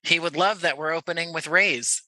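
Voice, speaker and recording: Male podcast guest from New Jersey: very bright, sharp voice, effusive casual chat, dry video-call recording. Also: normal speed, no room sound. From New Jersey, USA. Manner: high-energy, effusive. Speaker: podcast guest, audio playwright.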